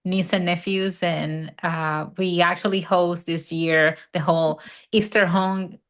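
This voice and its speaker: A woman's voice: on the low side, fairly even intonation, unhurried, a bit bright. A woman in her forties from the Dominican Republic with a Dominican Spanish accent, a chatty podcast guest who laughs often, on a video call. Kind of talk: casual interview chat.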